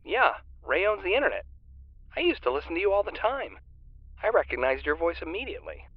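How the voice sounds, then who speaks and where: A man's voice: high-pitched, wildly swooping pitch, fairly quick, no echo, full character voice. A man playing a nervy, indignant superfan and fan fiction writer on a phone call in an audio drama. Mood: friendly, surprised. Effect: phone line filter. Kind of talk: naturalistic audio drama dialogue. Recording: tinny narrow phone line.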